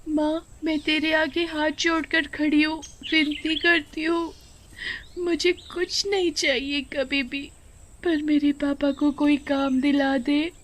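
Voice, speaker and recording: A woman's voice: high voice, little pitch movement, takes it slowly, slight background noise, pitched above the performer's own voice. A woman voicing a sweet, caring ten-year-old daughter in a cartoon story, earnest and pleading. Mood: tearful pleading. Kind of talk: cartoon moral story acting.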